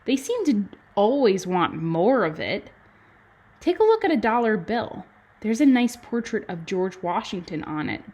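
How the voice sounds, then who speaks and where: A woman, a kindergarten teacher reading to children and adding chatty, animated explanations and questions in simple words. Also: on the high side. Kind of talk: children's read-aloud with chatty asides.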